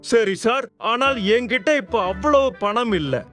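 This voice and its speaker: High-pitched, hesitant cartoon customer. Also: male voice, lively intonation, unhurried, bright, forceful tone, soft background music, exaggerated voice. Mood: anxious. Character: worried, hesitant male land buyer. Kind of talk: animated cartoon dub acting.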